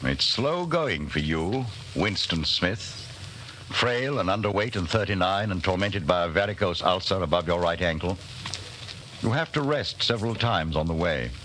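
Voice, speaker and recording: An actor as the foreboding narrator of a dystopian 1940s radio drama, dramatic and deliberate. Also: male voice, sits low, animated, a bit bright. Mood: ominous. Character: ominous second-person narrator of a dystopian tale. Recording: hissy, noisy 1949 radio recording.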